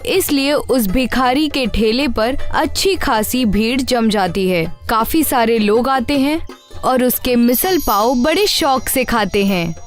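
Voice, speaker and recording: A woman narrating a scripted animated tale as a storyteller. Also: a little high.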